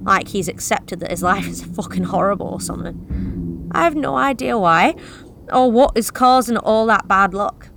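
A woman's voice: medium pitch, sing-song, moderate pace, vocal fry, noisy background. A young woman reading a first-person horror story in a wry, confessional, conversational way. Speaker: narrator.

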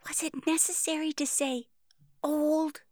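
A woman's voice: sits high, level tone, unhurried, a little mellow, no echo, studio quality. A woman playing a prim, precise older fairy sister who speaks formally and fussily in a fairytale audio drama. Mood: fussy.

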